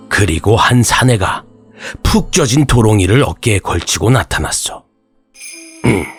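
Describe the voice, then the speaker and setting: A man telling a scripted horror folktale as an old-fashioned raconteur, with dramatic pauses and archaic turns of phrase. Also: fairly low pitch. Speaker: horror radio storyteller.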